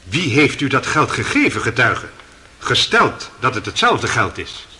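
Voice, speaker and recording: An actor playing a stern, authoritative presiding judge in a radio play, formal and commanding. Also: male voice, mid-range voice, wildly swooping pitch, a little slow, sharp tone, heavily acted.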